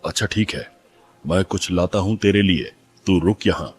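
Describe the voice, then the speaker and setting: A man's voice: deep voice, fairly even intonation, moderate pace, breathy edge, faint music behind. A man playing a stingy, self-serving rich landowner in a cartoon moral story, dismissive and condescending. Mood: offhand.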